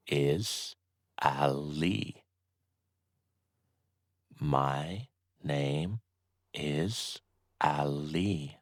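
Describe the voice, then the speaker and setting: Super slow deep-voiced guy teaching basic phrases, repeats everything twice, dry sound. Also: no echo. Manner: slow, deliberate, says each short sentence twice. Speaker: English teacher. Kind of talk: slow scripted beginner lesson.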